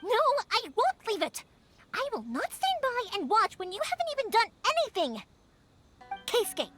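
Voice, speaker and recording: A woman's voice: very high-pitched, fairly bright tone, exaggerated voice. A woman voicing a spirited, bold young woman who makes fireworks, in video game dialogue. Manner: bold, bubbly, insistent.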